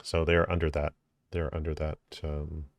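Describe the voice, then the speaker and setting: A man's voice: rumbling low, monotone, normal speed. A man explaining drone rules in a casual video, thinking aloud with many ums and self-corrections.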